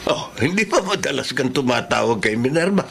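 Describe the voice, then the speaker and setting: Gravelly older Filipino father. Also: medium pitch, lively intonation, brisk, fairly bright tone. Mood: hesitant, suspicious. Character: older father, practical and quietly suspicious. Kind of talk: radio drama acting. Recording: faintly noisy radio drama track.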